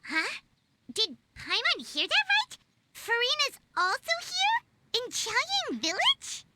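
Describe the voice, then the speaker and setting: A woman voicing a small, excitable, childlike floating fairy girl in a video game, chirpy and curious. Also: very high voice, slow pace, heavy background noise, exaggerated voice. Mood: curious, surprised. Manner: chirpy, refers to self in third person. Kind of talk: video game character dialogue.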